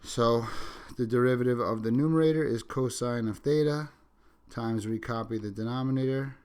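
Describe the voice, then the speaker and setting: A man's voice: medium pitch, moderate pace, darkish tone, smooth. A man teaching a calculus lesson, sick and sniffling, talking through each step as he writes and correcting himself along the way. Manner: talks through steps while writing, self-correcting asides. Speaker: math teacher. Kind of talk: recorded calculus lecture. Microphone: microphone.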